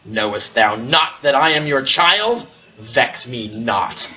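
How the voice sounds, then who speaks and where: A man's voice: medium pitch, expressive, sharp tone, lo-fi sound, pitched below the performer's own voice, full character voice. Een man speelt een wraakzuchtig jongetje, plechtig en traag, lager dan zijn gewone stem. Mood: solemn menace. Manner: slow, solemn, mock-biblical delivery. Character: wrathful five-year-old boy speaking archaic curses.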